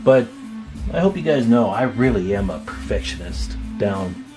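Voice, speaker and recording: A man's voice: fairly low pitch, lively intonation, moderate pace, darkish tone, soft background music. An older man in his sixties, plain-spoken and folksy, giving a casual, rambling hands-on demonstration as the host. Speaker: host, radio repair hobbyist.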